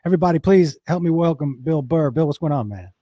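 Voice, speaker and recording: Hoarse man host, lively and higher-pitched. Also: quick pace, no echo, clean studio sound. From Western Massachusetts. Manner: stammering restarts, laughs easily. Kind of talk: casual chat. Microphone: video call.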